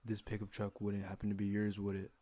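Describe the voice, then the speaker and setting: A man playing a predatory, bullying police officer in a fan dub, speaking deliberately with menacing condescension. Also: fairly low pitch, completely flat delivery, dark tone, no echo, lightly acted. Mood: cold, intimidating. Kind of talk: fan-dub dramatic acting.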